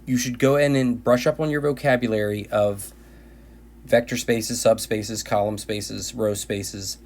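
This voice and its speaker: A man teaching linear algebra in a recorded video lecture, his voice tired and going hoarse, often restarting and repeating phrases. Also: on the low side, fairly even intonation, unhurried, darkish tone. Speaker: math teacher.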